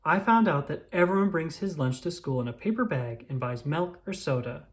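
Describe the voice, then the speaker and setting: An expressive reader narrates a children's storybook at a middling pitch. The intonation is very animated, and key phrases are drawn out deliberately. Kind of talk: storybook read-aloud, first-person child narrator.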